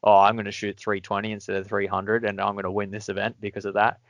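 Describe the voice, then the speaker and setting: A man's voice: fairly low pitch, flat delivery, fast talker, creaky, dry recording. A man hosting a podcast, easygoing and rambling, often laughing along. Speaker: podcast host. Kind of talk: casual podcast chat.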